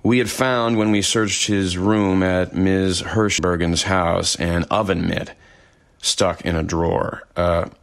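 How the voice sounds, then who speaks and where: An actor playing a measured police investigator who recounts a case matter-of-factly in a documentary-style audio drama, with a gravelly voice. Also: male voice, deep voice, fairly even intonation, dry recording, full character voice. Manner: measured, deliberate, matter-of-fact.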